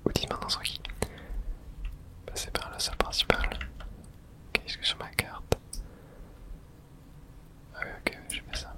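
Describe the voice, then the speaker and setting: A man whispers throughout, with almost no voice in the breath. He gives ASMR commentary as he plays a video game. His remarks come short and hushed, as if he is thinking aloud. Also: moderate pace, long pauses.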